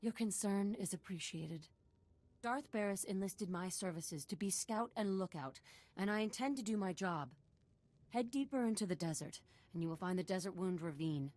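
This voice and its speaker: An actress playing a dutiful, cautious desert scout in a video game, formal and matter-of-fact. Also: female voice, medium pitch, animated, normal speed, a little mellow, close to an everyday voice. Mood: resolute. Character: dutiful, cautious female desert scout serving a Sith lord. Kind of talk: video game dialogue acting.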